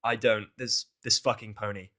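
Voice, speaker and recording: A man's voice: lowish voice, level tone, moderate pace, bright, forceful tone. A man narrating fan fiction in an informal, exasperated way, swearing and slipping into casual asides.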